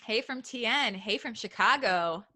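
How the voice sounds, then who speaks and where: A woman co-hosting a live podcast stream, giggly and excitable, reading out chat messages over a video call. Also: on the high side, measured pace, slightly rough. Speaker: podcast co-host.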